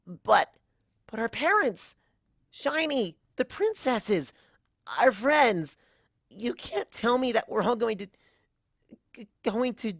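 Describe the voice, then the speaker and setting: A desperate young unicorn mare, as a woman puts her on, pleading in a high voice that keeps climbing. It is recorded in a dry, close room with no echo. The story is read as a storybook. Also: very animated, takes it slowly, creaky, pauses often, heavily acted. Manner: pleading, voice cracking upward.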